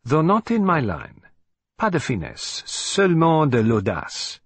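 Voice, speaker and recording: A man's voice: fairly high pitch, huge pitch swings, a little slow, slightly rough, dry recording, pitched above the performer's own voice, full character voice. A man voicing a fussy, vain older male detective with a put-on French accent, in a precise, self-satisfied manner. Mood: musing. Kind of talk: audiobook reading.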